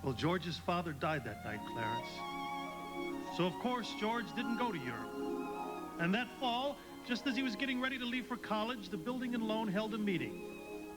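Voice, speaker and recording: A heavenly narrator in a radio drama. His voice is high and moves with lively inflection as he tells the story. Loud music plays beneath him. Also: normal speed. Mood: solemn storytelling. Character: celestial storyteller recounting a man's life to an angel.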